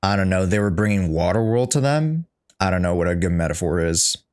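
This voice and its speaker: A man's voice: deep voice, expressive, a little fast, darkish tone, clean tone. A young man chatting casually as a livestreamer, reacting to a game story in an informal, joking, sweary way. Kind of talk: casual livestream chat and commentary.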